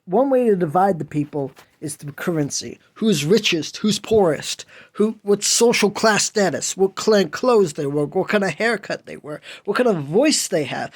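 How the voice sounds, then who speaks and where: A man's voice: high-pitched, lively intonation, moderate pace, hardly any pauses. A man hosting a podcast, delivering an impassioned solo monologue in a whiny voice, earnest and preachy as he pleads with listeners. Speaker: podcast host.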